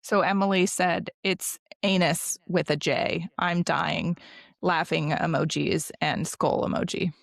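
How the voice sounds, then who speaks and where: A woman in her thirties co-hosting a podcast with a low, gravelly voice. She chats casually and wryly, laughing often, recorded dry on a close microphone. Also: steady tone, measured pace, dry recording. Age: 30s. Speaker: podcast co-host. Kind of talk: casual chat.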